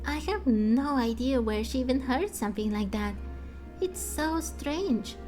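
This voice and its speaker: A woman's voice: sits high, lively intonation, measured pace, darkish tone, faint music behind. A woman voicing a chatty, self-assured little girl from a game, telling stories in a confiding, matter-of-fact way. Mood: matter-of-fact storytelling. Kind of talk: fan voice-over of game dialogue.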